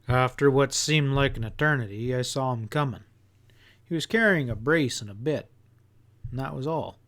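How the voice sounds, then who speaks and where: A man reading an audiobook as an expressive first-person narrator with a folksy storytelling manner. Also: medium pitch, normal speed. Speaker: audiobook reader.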